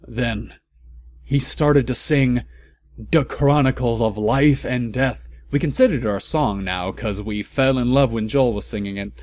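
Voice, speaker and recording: A man's voice: medium pitch, unhurried, darkish tone, no echo. A man giving a theatrical comedic reading of fan fiction, breaking in with incredulous asides. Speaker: comic reader. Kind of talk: comedic dramatic narration of fan fiction with interjections.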